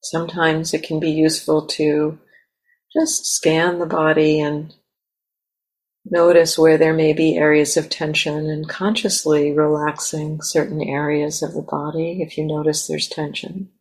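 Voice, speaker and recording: Slow-paced woman guiding a meditation. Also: sits low, flat delivery, breathy edge. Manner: gentle, halting, with repeated soft invitations. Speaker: meditation teacher. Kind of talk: guided meditation, unscripted and spoken in pauses. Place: meditation center talk.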